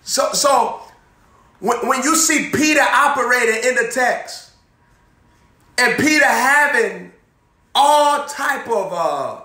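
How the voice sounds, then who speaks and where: A young man preaching an energetic sermon, repeating phrases and throwing questions to his listeners. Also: very high voice, takes it slowly, long pauses. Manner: animated, repetitive preaching with call-and-response questions, moving around. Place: indoor room in front of a banner backdrop. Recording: echoey room.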